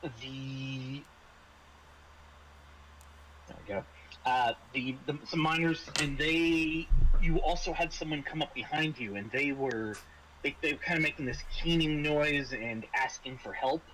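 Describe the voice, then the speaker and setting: Guy game mastering, kinda halting and dry, some noise on the mic. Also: on the high side. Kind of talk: casual tabletop game narration.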